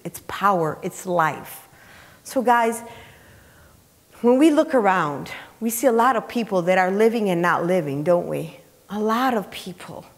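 Woman preaching in a reverberant hall. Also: mid-range voice. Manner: emphatic, conversational, with rhetorical questions and repetition. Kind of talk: sermon, teaching scripture to a congregation. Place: church sanctuary, indoors, on the platform. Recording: reverberant hall, picked up in large room.

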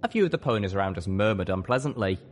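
A narrator reading a scripted story in the first person, with measured, expressive delivery. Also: low-pitched. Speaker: audiobook reader.

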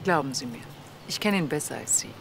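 A woman's voice: extremely low pitch, animated, fast talker, gravelly, a little hiss or hum, exaggerated voice. A woman playing a haughty, cool countess in a crime drama, dismissive and imperious. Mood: indignant. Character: haughty, cool aristocratic countess defending her family. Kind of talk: German TV crime drama acting.

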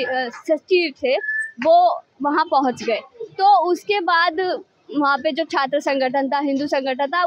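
A young woman reporter with a high-pitched voice sets out news questions on the street, rephrasing as she goes. The sound is dry and a little dull. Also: no echo. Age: 20s. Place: outdoors in a busy market street. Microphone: handheld reporter's microphone.

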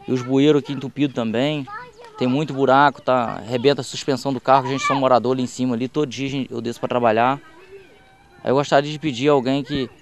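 A man's voice: medium pitch, fairly even intonation. A young man interviewed outdoors by a dirt slope with a handheld mic, complaining about his street. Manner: rapid complaining. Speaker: resident interviewed. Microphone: handheld reporter mic.

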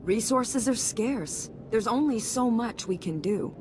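An actress playing a stern, formal senator with authoritative oratory in a video game. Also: female voice, lively intonation, unhurried. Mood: stern urgency. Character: stern, commanding female senator, pressed for time. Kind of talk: video game cutscene acting. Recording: noticeable rumbling background noise.

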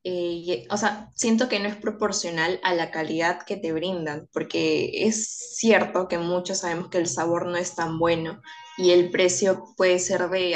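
A young woman giving her opinion in an online group discussion. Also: on the low side. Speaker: focus group participant. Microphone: video call.

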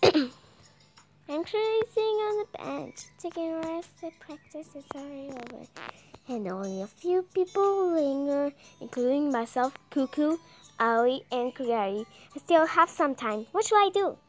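High-pitched, slow young woman reading haltingly. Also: lively intonation. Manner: halting, stumbles over words. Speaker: gameplay video creator reading aloud. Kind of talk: reading visual novel text aloud. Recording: music behind the voice.

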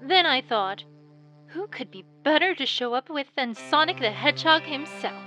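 A woman's voice: high-pitched, expressive, moderate pace, pushed and bright, faint music behind. A woman voicing a confident female bat thief, teasing and commanding in a fan audio drama. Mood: sly.

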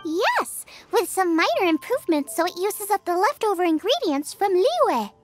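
A cheerful young girl chef from a video game, with a very high, highly animated voice. She is bubbly, eager and generous, full of passion as she speaks. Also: moderate pace, loud music behind the voice. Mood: encouraging. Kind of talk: video game character acting.

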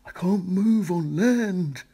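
Man doing a huge moaning whale, pitched way up. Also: creaky, breathy edge, heavily acted. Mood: distressed moaning. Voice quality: loud, drawn-out moan.

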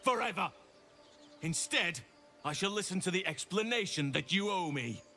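An actor playing a stern, formal druid leader in a video game, speaking in a measured, solemn and commanding way. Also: male voice, high voice, lively intonation, pushed and bright, faint music behind. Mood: stern anger. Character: stern, grave male druid leader, commanding yet grateful. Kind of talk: dramatic game dialogue.

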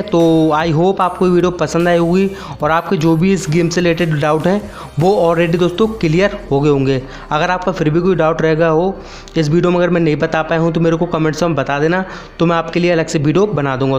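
High-voiced young man over music. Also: a little mellow. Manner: repetitive, addresses viewers as friends and brothers. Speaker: YouTube gaming channel host. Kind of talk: casual gaming update voice-over. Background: background music.

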